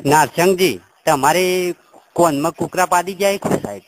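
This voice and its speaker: High-pitched rustic Gujarati man on noisy call. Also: moderate pace. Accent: rural Gujarati. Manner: rustic, rambling, naive and pushy. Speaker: customer calling a helpline. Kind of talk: prank phone call. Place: phone call. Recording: noisy phone-call recording with clicks.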